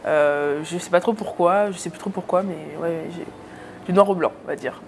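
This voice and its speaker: A woman's voice: fairly low pitch, moderate pace, a little hiss or hum. A young woman from Cameroon, a YouTuber guest in a casual interview, talking with many hesitations.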